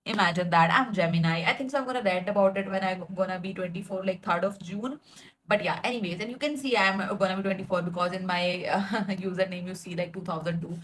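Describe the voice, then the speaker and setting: A woman's voice: on the low side, fast, fairly bright tone, slight background noise, poor audio. A woman, ranting casually to her followers and laughing at herself, with lots of likes and fillers. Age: 20s. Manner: chatty, self-mocking, laughs often, filler-heavy. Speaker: vlogger. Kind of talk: casual rambling rant to followers.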